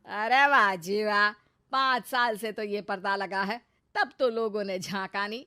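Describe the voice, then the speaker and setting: A woman's voice: medium pitch, a little slow, sharp tone, smooth, no room sound, studio quality. An actress playing a grumpy, domineering middle-aged mother-in-law in a cartoon story, with a scolding, sarcastic manner. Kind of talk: Hindi cartoon story acting.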